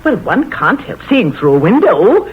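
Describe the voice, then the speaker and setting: An actress playing a nosy, opinionated, gossipy spinster sister in a vintage radio drama. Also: female voice, medium pitch, very animated, moderate pace, slightly rough. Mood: nosy, bustling. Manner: pointed, prying, opinionated. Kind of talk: 1930s-40s radio drama acting. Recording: muffled vintage radio recording.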